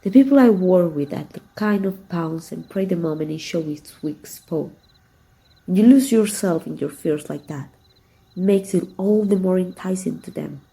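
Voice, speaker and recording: A woman's voice: fairly low pitch, moderate pace, vocal fry, dark tone, low-quality recording. A woman playing a flirtatious, confident villain who is secretly fond of her rival, in an intimate, teasing ASMR roleplay. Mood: confident, possessive. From Mexico. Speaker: amateur voice actor.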